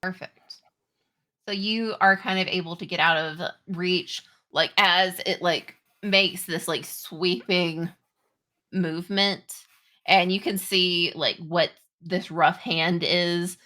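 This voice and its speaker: A woman game master improvising narration and rules in a halting, conversational way. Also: fairly low pitch, fairly even intonation, bright, forceful tone. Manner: halting, conversational, pieced-together descriptions. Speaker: game master of a tabletop roleplaying game.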